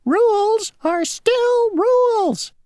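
A woman voicing a tiny, pompous male mosquito ferryman who talks slowly and insists on rules. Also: very high voice, lively intonation, warm tone, dry recording, clean studio sound, pitched above the performer's own voice, full character voice. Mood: self-important. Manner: slow, rule-bound, boastful.

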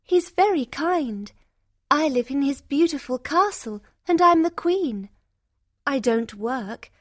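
A woman voicing a kind, gentle young fairy-tale heroine in an expressive, earnest way. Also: high voice, takes it slowly, dry recording, studio quality, pitched above the performer's own voice. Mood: happy, proud.

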